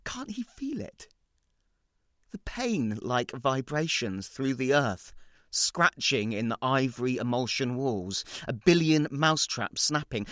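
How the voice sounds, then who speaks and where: A man playing an anguished, guilt-ridden narrator, sharply bright. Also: a little high, no room sound, clean studio sound. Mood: anguished, desperate. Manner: confessional, intense, short clipped repetitive phrases. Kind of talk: dramatic first-person short story reading.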